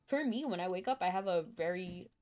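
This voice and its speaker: Chatty low-voiced woman streaming a game. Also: darkish tone. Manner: chatty, rambling, thinking aloud and chuckling. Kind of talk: casual live-stream chat while gaming. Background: faint game music.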